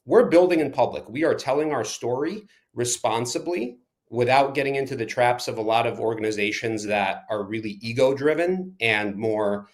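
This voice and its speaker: A man born in Ukraine, a soccer club manager and coach, answering interview questions emphatically, racing through some phrases and slowing on others. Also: medium pitch.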